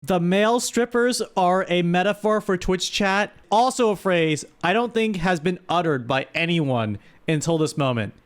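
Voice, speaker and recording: A man's voice: sits high, animated, normal speed, hardly any pauses. A man hosting a stream with casual comedic commentary, exasperated and playfully scolding as he reads out what viewers write. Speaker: streamer host.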